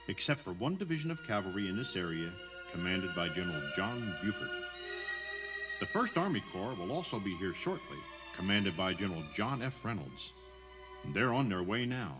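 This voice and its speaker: A man narrating a scripted audio tour as a guide, in a conversational, emphatic storytelling manner. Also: on the low side.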